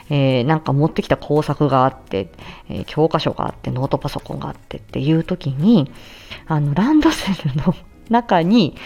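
A woman talks on her own in a casual, explanatory way. Her voice is fairly low and mellow, with very lively swings in pitch. She speaks emphatically, as if checking that the listener follows, on a slightly muffled recording. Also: vocal fry. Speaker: speech therapist, audio show host. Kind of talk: casual explanatory solo talk.

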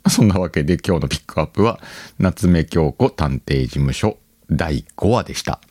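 Very deep-voiced man chatting casually. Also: expressive, normal speed, darkish tone, husky, no room sound, studio quality. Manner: conversational, thinks aloud, addresses listeners directly. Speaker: podcast host. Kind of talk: casual solo chat to listeners. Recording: background music under the voice.

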